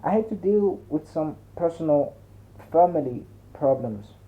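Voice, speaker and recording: A man's voice: a little high, animated, a little slow, pitched above the performer's own voice. Man voicing a shy, polite woman, tinny audio. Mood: apologetic. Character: shy, apologetic young female employee. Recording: muffled, narrow phone-like sound.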